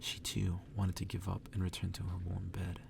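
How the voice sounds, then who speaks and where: A man reading a story aloud in a hushed, breathy ASMR whisper. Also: completely flat delivery, moderate pace, noisy background. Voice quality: breathy whisper, gravelly low voice. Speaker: ASMR reader.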